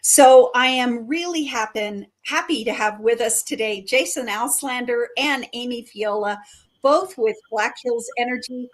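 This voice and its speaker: Brief: middle-aged woman, community livestream host, enthusiastic promo delivery, higher-pitched voice. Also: a little slow. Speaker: chamber of commerce director hosting a live show.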